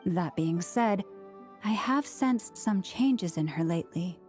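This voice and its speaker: A woman's voice: lowish voice, lively intonation, slow, darkish tone. A woman playing a composed, earnest, scholarly priestess in a video game, speaking formally and precisely. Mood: thoughtful. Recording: music behind the voice. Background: background music.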